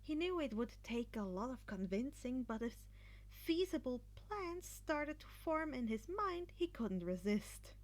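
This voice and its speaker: Female amateur story narrator, high register, unhurried reading of fan fiction. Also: big pitch swings.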